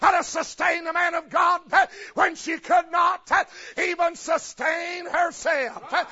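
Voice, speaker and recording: A man preaching a chanted revival sermon in a shouted cadence, with an uh after every phrase. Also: very high voice, steady tone, unhurried, a faint crowd. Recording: lo-fi recording with faint congregation. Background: congregation responding.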